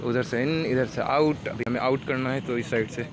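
A man's voice: medium pitch, steady tone, normal speed, very noisy. A young man, chatting informally as he narrates a vlog into a handheld camera. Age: young adult. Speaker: student vlogger. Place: outdoors on campus, streets and station. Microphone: handheld camera at arm's length. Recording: music over outdoor phone-style vlog audio. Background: loud music.

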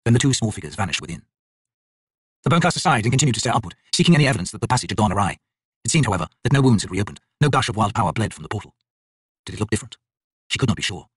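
A man's voice: on the low side, very animated, rapid-fire, slightly rough, no room sound. A man narrating a fantasy story in a solemn, theatrical, scripted style. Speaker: audiobook narrator.

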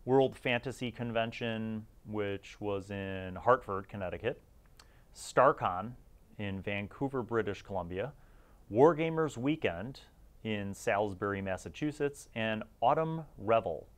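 A man's voice: on the low side, animated, a little slow. A middle-aged man giving casual, conversational review commentary as the host of a gaming series, chatty and informal with emphasis on key words.

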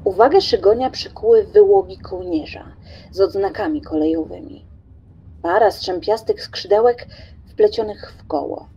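A woman narrating a literary radio play in a dramatic, deliberate, theatrical way. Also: mid-range voice, animated, gravelly, dark tone, breathy edge, lo-fi sound.

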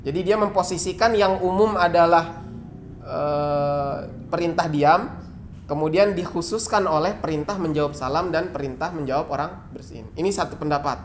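A man's voice: a little high, moderate pace, a little hiss or hum. An Indonesian man teaching Islamic jurisprudence, reading Arabic lines aloud and then explaining them in plain words, with a lot of repetition. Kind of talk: explaining and translating a classical Arabic text.

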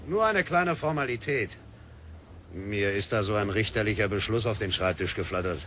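Police sergeant, dutiful, coaxing, lively pitch, old radio play, faint hiss. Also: male voice, normal speed. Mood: official. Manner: official, coaxing. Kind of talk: 1960s radio drama acting.

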